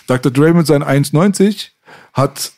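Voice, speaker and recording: A man hosting a podcast, telling stories in casual, slangy, animated chat. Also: a little high, normal speed, dry recording, clean studio sound. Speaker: podcast host.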